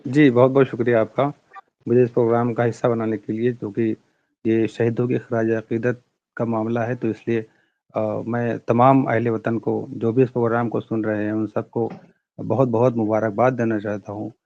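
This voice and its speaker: A man speaking as a poet on a remote link, formally thanking the host and then chanting his verse in long, drawn-out, sung lines. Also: mid-range voice, level tone, no echo, dull audio. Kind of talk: reciting verse in a slow chant.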